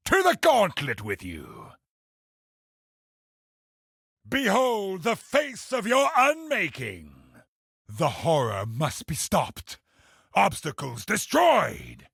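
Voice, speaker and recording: Ancient, gravelly, slow-spoken game villain. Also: male voice, high-pitched, fairly bright tone, no echo, clean studio sound, exaggerated voice. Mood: commanding, urgent. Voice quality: gravelly, husky. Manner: slow, portentous, theatrical pronouncements. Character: ancient imperious cosmic villain, a grave, menacing male elder. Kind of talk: dramatic video game villain voice acting.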